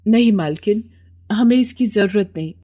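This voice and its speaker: A woman voicing a humble poor housemaid and mother, meek and gentle, in a moral story. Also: mid-range voice, normal speed, close to an everyday voice.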